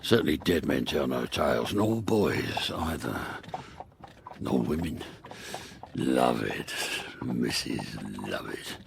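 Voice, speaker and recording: Villainous barber, gravelly, ornate and deliberate, gloating, wide-ranging intonation, period audio drama, noisy recording. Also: male voice, rumbling low, expressive, exaggerated voice. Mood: gloating, menacing. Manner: theatrical, deliberate, ornate formal phrasing. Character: scheming murderous barber posing as genteel nobleman, gloating.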